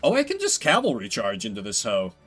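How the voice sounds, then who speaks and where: Bright-voiced man commentating a strategy game. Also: lowish voice, wildly swooping pitch, a little fast, faint music behind. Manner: musing, talks himself through decisions. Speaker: game streamer. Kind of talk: live strategy game commentary, thinking aloud.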